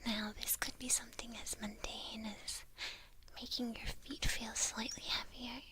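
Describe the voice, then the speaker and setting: A woman plays a devilish witch in an ASMR roleplay, whispering in a light, high voice. She is slow and coaxing, playful and giggly, teasing the listener up close in breathy tones. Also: little pitch movement, close to an everyday voice.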